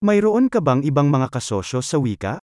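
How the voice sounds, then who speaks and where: Man, fairly high and very lively voice, scripted phrase reading for a language course, dry recording. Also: a little fast, no echo. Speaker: Filipino phrase reader.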